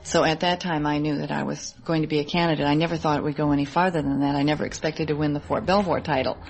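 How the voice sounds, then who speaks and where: A woman's voice: low-pitched, steady tone, fast talker, poor audio. A woman in her thirties with an American accent, giving conversational answers as an interview guest. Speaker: interview guest, Army wife.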